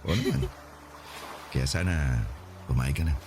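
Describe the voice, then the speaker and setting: A man's voice: rumbling low, lively intonation, a little slow, background noise. A Filipino man acting a devoted, earnest and playful fiancé in a radio drama. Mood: earnest, pleading.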